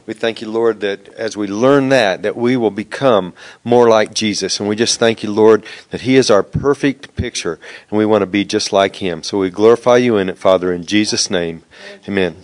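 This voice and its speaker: A man's voice: lowish voice, normal speed. A man leading a spoken prayer with reverent, repeated thanksgiving. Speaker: congregation member leading prayer.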